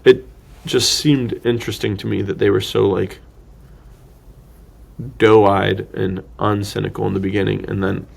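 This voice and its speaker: A man with a fairly low voice that is heavily creaky and rough around the edges, with a husky finish. He talks like a podcast co-host in a casual film discussion, rambling and working out his thoughts as he goes. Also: level tone, unhurried. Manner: rambling, thinking aloud, slangy.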